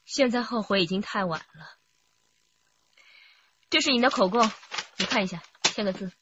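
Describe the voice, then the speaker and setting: An actress playing a composed, firm police officer explaining things in an official manner in a radio crime drama. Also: female voice, mid-range voice, expressive, moderate pace, fairly bright tone, slight background noise, close to an everyday voice. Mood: stern. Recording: dull sound, crowd behind.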